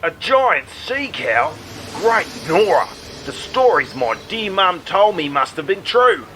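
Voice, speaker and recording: A man's voice: sits high, sing-song, slow, sharp tone, slight background noise. A man performing several characters in a comic radio serial.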